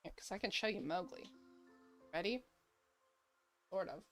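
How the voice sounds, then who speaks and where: A woman's voice: mid-range voice, lively intonation, normal speed, fairly bright tone, soft background music. A woman doing casual live gaming commentary, chatting and thinking aloud as she plays. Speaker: game streamer.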